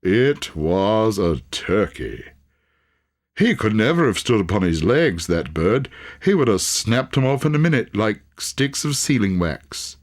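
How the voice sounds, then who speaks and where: A man reading a literary story aloud as an audiobook narrator, with theatrical, deliberate phrasing. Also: lowish voice, sing-song. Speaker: audiobook reader.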